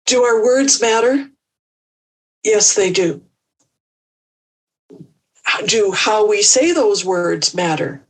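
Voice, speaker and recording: A woman speaking as an empowerment coach in an interview, measured and thoughtful with small restarts. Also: medium pitch, lively intonation, distant mic, rough recording. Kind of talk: reflective interview answers.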